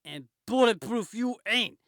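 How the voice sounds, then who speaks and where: A man voicing brash comic-book gunmen, menacing and excitable, shouting tough talk. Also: sits high, unhurried, a little hiss or hum, pitched above the performer's own voice, heavily acted.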